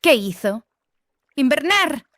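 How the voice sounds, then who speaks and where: Sceptical young female apprentice for fantasy audiobook: fairly high pitch, irreverent, matter-of-fact, dry recording. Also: sing-song, slow pace, a bit bright, no room sound, heavily acted. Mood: dismissive. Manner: snorting, dismissive, matter-of-fact. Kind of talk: dramatised audiobook acting.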